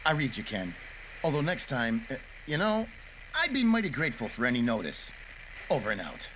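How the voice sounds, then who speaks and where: A man's voice: mid-range voice, wildly swooping pitch, fairly quick, fairly bright tone, a little hiss or hum, rough recording. A man playing a folksy, good-natured forest ranger in a video game cutscene. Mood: mildly annoyed. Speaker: forest ranger on night shift.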